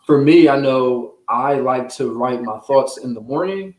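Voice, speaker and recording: A man's voice: medium pitch, a little slow, darkish tone. A man speaking as a guest author, earnest and encouraging in casual, motivational interview talk. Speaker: children's book author, guest. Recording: roomy, echoing sound.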